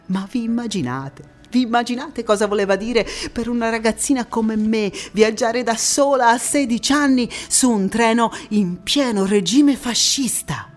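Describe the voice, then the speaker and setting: An actress playing a passionate young Italian antifascist woman, recounting her life in a theatrical monologue with dramatic pauses and emphatic repetitions. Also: fairly high pitch, close to an everyday voice. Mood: fervent, proud. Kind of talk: theatrical stage monologue, first-person storytelling.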